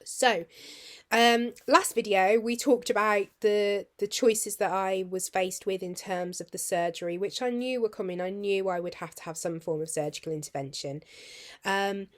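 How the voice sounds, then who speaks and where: British woman vlogging, voice all husky and croaky, friendly rambly chat, mid-pitched. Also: moderate pace. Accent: British English. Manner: rambling, friendly, drops to near-whisper at times. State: husky, hoarse after surgery tubes. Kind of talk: casual chatty vlog.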